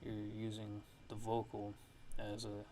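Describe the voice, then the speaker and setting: Male ASMR tutorial host, low and monotone, casual and halting, unscripted feel, noisy recording. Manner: halting, loose, unscripted explaining. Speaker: music production tutorial host.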